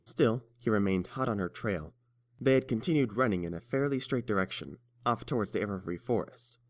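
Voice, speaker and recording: A man's voice: on the low side, fairly quick. A man reading scripted story narration as the narrator of a fan fiction tale.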